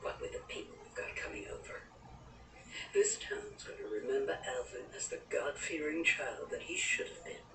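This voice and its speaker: A woman's voice: a bass voice, wildly swooping pitch, normal speed, echoey room, distant mic, noisy background, exaggerated voice. A woman playing a severe, pious, judgmental small-town mother, stern and cold, in a radio drama. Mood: cold, disapproving.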